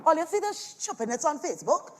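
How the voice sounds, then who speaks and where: High-pitched woman, Trinidadian accent, combative, scornful, live stage drama, acted. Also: sing-song, fast, a little hiss or hum. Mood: indignant. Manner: scornful, confrontational, rhetorical questioning.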